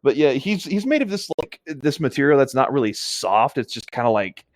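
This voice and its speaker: A man's voice: medium pitch, very animated, fairly quick, no room sound, studio quality. A man co-hosting a casual reading of a story, adding chatty asides as he goes. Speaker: co-host reading a story aloud with commentary.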